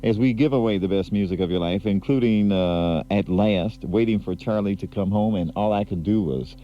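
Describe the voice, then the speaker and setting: Low-voiced chatty radio DJ. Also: male voice, normal speed, a little hiss or hum. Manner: chatty, laughing, rambling. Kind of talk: casual on-air DJ talk. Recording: muffled 1980s AM/FM aircheck tape.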